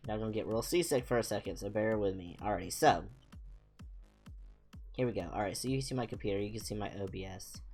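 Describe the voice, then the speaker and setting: A man's voice: fairly low pitch, fast talker, a little mellow, faint music behind. A young man chatting casually as a livestream host, rambling informally with a self-deprecating manner. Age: young adult. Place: small home room, indoors.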